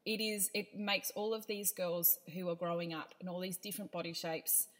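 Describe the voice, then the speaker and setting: A woman's voice: lowish voice, flat delivery, moderate pace, fairly bright tone, low-quality recording. A woman hosting a podcast in a casual, effusive and teasing chat style. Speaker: podcast host.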